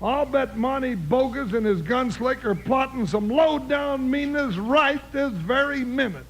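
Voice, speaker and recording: An actor playing a grumbling, hot-tempered Western sidekick with a folksy drawl in an old-time radio drama. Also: male voice, extremely high pitch, unhurried, loud music behind the voice. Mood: indignant. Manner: folksy cowboy drawl, exclamatory. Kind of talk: 1940s-50s Western radio acting. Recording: noisy old radio recording.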